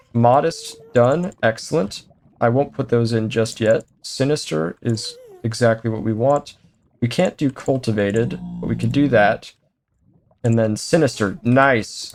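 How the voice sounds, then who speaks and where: A man giving casual, self-chatting commentary as he plays a video game, thinking aloud with jokey asides and bursts of excitement. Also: medium pitch, a little slow. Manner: sudden excited exclamations. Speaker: let's play host.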